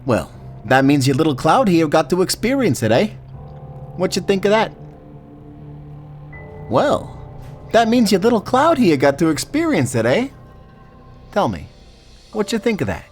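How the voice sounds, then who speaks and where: A man's voice: sits high, big pitch swings, measured pace, long pauses, soft background music. A man playing a cocky, teasing Grim Reaper in a fantasy audio roleplay, talking like a smug, slangy wise guy.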